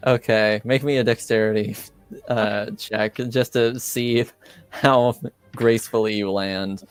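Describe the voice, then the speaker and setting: Halting male game master, smooth clear voice. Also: lowish voice, steady tone, dry recording. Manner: halting, pausing often mid-sentence. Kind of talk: narrating a tabletop roleplaying game. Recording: music playing behind. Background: background music.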